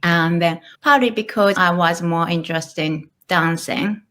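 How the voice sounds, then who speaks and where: A woman in her thirties with a Japanese accent, talking as a guest in a relaxed interview. Her voice sits a little low for a woman. She thinks aloud with small restarts. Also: measured pace. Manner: thoughtful, with small restarts and light laughs. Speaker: guest, author and illustrator. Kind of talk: casual interview conversation.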